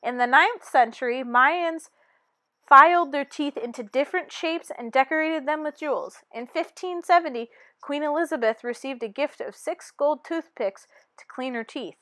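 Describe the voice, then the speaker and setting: A woman with a high voice reads a children's picture book aloud. Her delivery is expressive and teacherly, and the sound is dry and close, with very little room. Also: normal speed, no room sound. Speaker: storybook reader.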